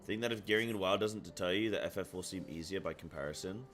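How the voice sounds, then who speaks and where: A man streaming from his apartment, chatting casually, thinking aloud and reading chat aloud. He has a deep, carrying voice and talks loudly by habit. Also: quick pace, faint music behind. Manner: loud by habit. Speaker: live streamer. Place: apartment room at home.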